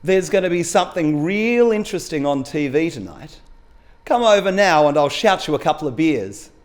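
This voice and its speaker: A man's voice: a little high, sing-song, moderate pace. A man reading the part of an injured, vengeful cyclist in a story, emphatic and colloquial. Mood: smug.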